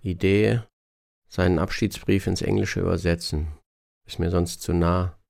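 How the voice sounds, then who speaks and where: An actor playing a detached son in a radio play, delivering a terse, matter-of-fact monologue in clipped fragments. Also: male voice, low-pitched, level tone, a little slow, gravelly, darkish tone, no room sound, clean studio sound, exaggerated voice.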